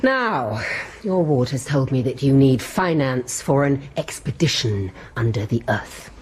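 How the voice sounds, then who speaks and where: An actress playing a brisk, independent Victorian lady explorer with an English accent and a commanding, haughty manner in a radio comedy-adventure. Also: very deep voice, expressive, a little mellow. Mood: proud, brisk. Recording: noisy radio broadcast with ambient effects.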